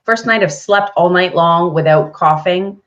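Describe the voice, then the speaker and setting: A woman co-hosting a casual live chat, her voice husky as she gets over a chesty cough. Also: lowish voice, measured pace, a bit bright. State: recovering from bronchitis with a cough. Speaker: co-host of a business live show. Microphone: live video call. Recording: muffled, lo-fi video stream.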